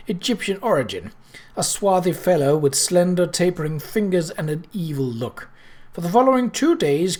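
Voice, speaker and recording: A narrator reading a period novel aloud in an expressive way, with occasional stumbles. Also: mid-range voice. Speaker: audiobook reader.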